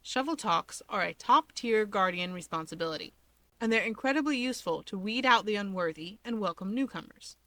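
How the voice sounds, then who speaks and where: A woman's voice: medium pitch, lively intonation, fairly quick, a bit bright, no echo. A woman reading fiction aloud as scripted narration, telling the story expressively. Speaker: fan fiction reader.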